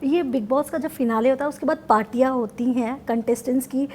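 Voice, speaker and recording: A woman with a high-pitched voice works as an interviewer. She has an Indian accent and puts her questions in a casual, conversational entertainment style. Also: steady tone, a little fast, dark tone, a little hiss or hum.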